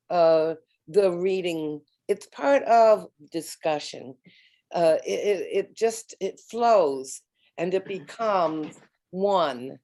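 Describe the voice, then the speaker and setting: Slow, mellow-voiced woman on a video call. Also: medium pitch. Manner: halting, repeats words, many fillers. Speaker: educator and panelist. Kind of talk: online round table discussion.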